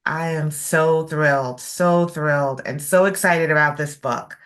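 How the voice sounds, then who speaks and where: Woman host, low voice, talks slow and careful, lots of ums, on a video call. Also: fairly bright tone. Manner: measured, thoughtful, with frequent fillers. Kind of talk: interview hosting.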